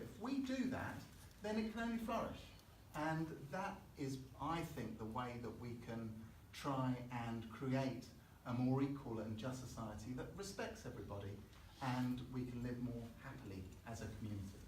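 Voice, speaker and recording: A man's voice: mid-range voice, moderate pace, a little mellow. Hesitant man in an echoey hall. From Chile. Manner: thoughtful, hesitant, frequent restarts. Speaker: election candidate. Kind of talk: unscripted panel speech. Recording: echoey hall, mic far off. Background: faint room noise.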